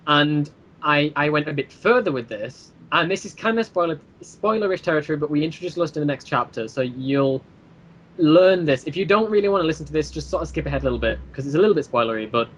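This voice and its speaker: A man's voice: on the high side, lively intonation, quick pace, fairly bright tone, slight background noise, rough recording. A man chatting casually as an interview guest, explaining his series in a rambling, eager way. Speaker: guest, creator of an audio drama series.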